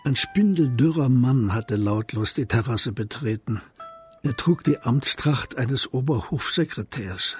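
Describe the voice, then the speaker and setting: Medium-pitched male storyteller over music. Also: lively intonation, a little slow. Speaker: narrator. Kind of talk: scripted radio play narration.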